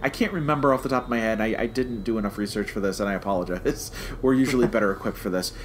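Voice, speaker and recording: A man co-hosting a review podcast in casual, conversational talk with halting, repeated starts. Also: on the low side, a little hiss or hum.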